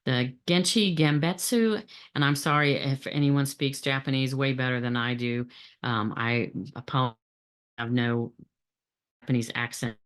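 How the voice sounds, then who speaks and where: Halting, plain-spoken woman explaining. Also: rumbling low. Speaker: supply chain trainer. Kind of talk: explanatory training presentation.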